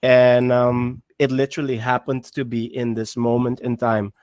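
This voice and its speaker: A man in his thirties gives a measured, earnest answer as a guest on a video call. His delivery stays flat and even. The sound is dry and close. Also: mid-range voice, dry recording. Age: 30s. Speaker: musician guest.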